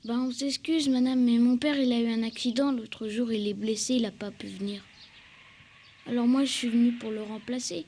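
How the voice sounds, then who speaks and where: A woman's voice: on the high side, steady tone, moderate pace, mellow, a little hiss or hum, natural voice. A woman voicing an earnest, humble working-class young boy in a French cartoon dub, hesitant and plain-spoken. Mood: apologetic, earnest.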